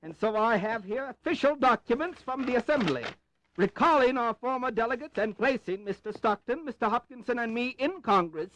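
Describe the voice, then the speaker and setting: An eager colonial delegate in an audio drama. His voice is extremely high, and his delivery is courteous, formal and keen. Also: expressive, brisk, fairly bright tone, rough recording. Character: polite, eager colonial doctor arriving as delegate. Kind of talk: historical audio drama acting.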